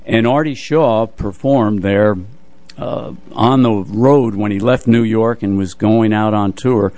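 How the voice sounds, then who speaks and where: An elderly man hosts a music show in a fairly low voice. He reminisces in a measured, conversational way, circling back over his phrases. The sound is very dry, as in a studio. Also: a little mellow, no room sound. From United States, New Jersey area. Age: 80s. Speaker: radio music show host. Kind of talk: casual reminiscing radio talk. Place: radio studio.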